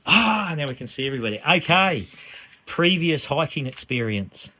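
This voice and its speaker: A man's voice: a little high, sing-song, normal speed, pushed and bright. Upbeat man interviewing on a trail. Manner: upbeat, brief replies.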